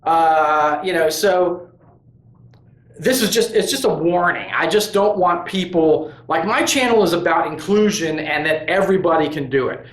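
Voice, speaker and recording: Man, channel host, casual monologue, slightly high voice, lots of restarts, echoey room. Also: fairly bright tone. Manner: rambling, earnest, with many restarts and "you know". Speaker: host of a tabletop gaming crafts channel. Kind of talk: casual opinion monologue to camera.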